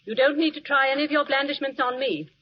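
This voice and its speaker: Woman playing high-pitched, scolding, blunt nurse. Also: fast talker, natural voice. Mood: indignant. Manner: stern, indignant. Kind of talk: 1940s radio drama acting. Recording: muffled, hissy vintage radio recording.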